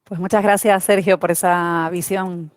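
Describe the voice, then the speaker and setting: A woman's voice: lowish voice, flat delivery, a little slow, no room sound, studio quality. A woman moderating a conference panel, polite and formal, with some restarts.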